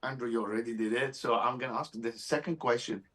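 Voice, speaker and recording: A man's voice: mid-range voice, steady tone, fast talker, fairly bright tone. A man working as an entertainment interviewer at a press junket, casual, enthusiastic and complimentary. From Egypt.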